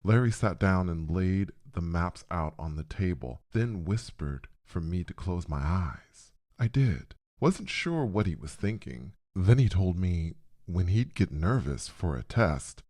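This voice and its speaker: A man narrating a scripted first-person story in a dramatic, deliberate way with pauses. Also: sits low, very animated, mellow.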